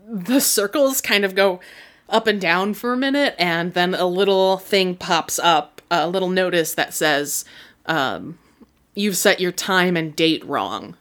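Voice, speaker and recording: A woman with a lowish voice, narrating a tabletop game as game master. She talks casually, hesitating with fillers and correcting herself as she goes. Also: measured pace, a bit bright.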